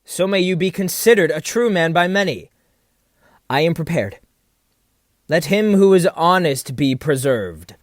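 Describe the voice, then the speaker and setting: Haughty, defiant duelling captain male actor, lively swooping pitch, very dry sound, formal drama style. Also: measured pace, pauses often, dry recording. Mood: proud, defiant. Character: proud, stubborn military captain and duelist. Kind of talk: stage-play audio drama acting.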